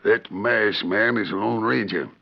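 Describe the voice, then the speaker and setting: An actor playing a gruff, bossy, crooked small-town sheriff with a folksy frontier drawl in a 1940s radio western. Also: male voice, fairly low pitch, measured pace. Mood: uneasy, scheming. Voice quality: gravelly. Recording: muffled vintage 1940s radio recording.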